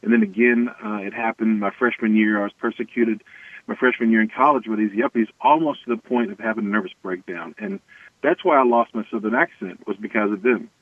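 An American man with a fairly low voice tells his own story as an interview guest. His delivery is earnest and halting. The sound is thin and phone-like, with very little room around it. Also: dry recording. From Tennessee, United States. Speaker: interview guest, anti-racism activist. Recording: tinny band-limited phone-like line.

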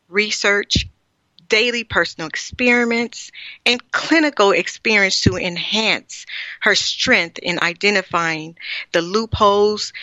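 Bright, effusive female radio host. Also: mid-range voice, dry recording. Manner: effusive, gushing reactions. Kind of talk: radio interview, reading a scripted introduction. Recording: dull lo-fi, dry.

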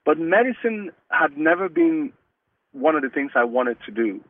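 A man's voice: fairly high pitch, very animated, dry recording. A man from Cameroon, whose first language is Mungaka, talking earnestly as an interview guest and often restarting his sentences. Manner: earnest, halting with many restarts. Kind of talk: casual interview talk. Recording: dry, tinny phone-like line.

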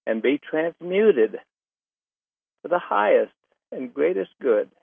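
A man leading a spoken invocation in a slow, solemn, measured way. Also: a little high, big pitch swings, creaky, slightly rough, no echo. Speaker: invocation leader. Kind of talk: spoken prayer. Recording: dry tinny phone line.

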